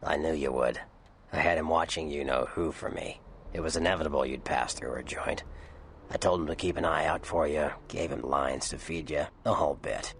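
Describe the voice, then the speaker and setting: A grizzled vampire informant from a video game, with an extremely low, gravel-edged voice. He is cynical and sardonic, talking in gruff slang over faint noise. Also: moderate pace. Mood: sly, matter-of-fact.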